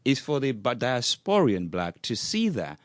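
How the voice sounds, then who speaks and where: A man's voice: mid-range voice, big pitch swings, measured pace, no echo, clean studio sound. A man co-hosting a radio talk show, making his case earnestly and circling back with "what I'm saying is".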